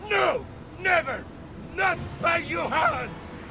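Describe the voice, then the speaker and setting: An actor playing a vain, scheming megalomaniac count in a video game, declaiming in a bombastic, grandiose way. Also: male voice, very high voice, lively intonation, very slow, vocal fry, over music, exaggerated voice. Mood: defiant, ranting. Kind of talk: theatrical video game villain acting.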